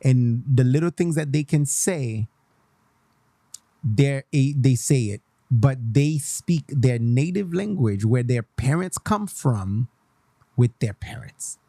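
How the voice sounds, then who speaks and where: A man's voice: mid-range voice, lively intonation, slow, warm tone, no echo. A young man from Haiti, hosting a radio show in a casual, passionate way, switching between English and Creole and repeating himself for emphasis. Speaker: radio host.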